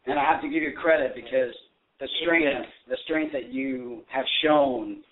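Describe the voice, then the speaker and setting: A man's voice: mid-range voice, normal speed. A man hosting a call-in radio show, speaking English as a second language, praising his guest earnestly and reading prepared questions. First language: not English. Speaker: radio host. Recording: phone-like lo-fi sound.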